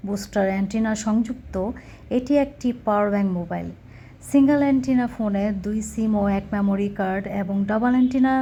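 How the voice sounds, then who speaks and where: Mellow Bangladeshi woman presenting a product. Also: medium pitch, muffled recording, a little hiss or hum. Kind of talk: scripted sales pitch.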